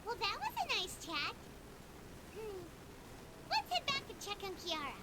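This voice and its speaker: A woman voicing a tiny, eager female fairy companion in a game, with a high, squeaky voice. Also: very animated, measured pace, heavily acted. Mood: chirpy. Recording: game audio under a noisy stream.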